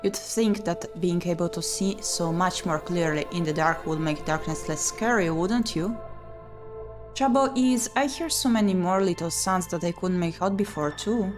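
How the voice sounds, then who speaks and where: Woman doing a let's play, reads the game out loud n chats, soft music behind. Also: lowish voice, moderate pace. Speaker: video game streamer. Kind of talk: let's play: reading visual novel aloud with chatty commentary.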